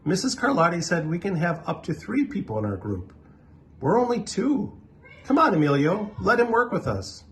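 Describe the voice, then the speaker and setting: A man voicing a friendly, eager young schoolboy in a storybook, with a gentle lilting manner. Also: sits high, sing-song, moderate pace, slight background noise, voice pushed up. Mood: pleading. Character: shy, friendly young schoolboy, new in class. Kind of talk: storybook character voice.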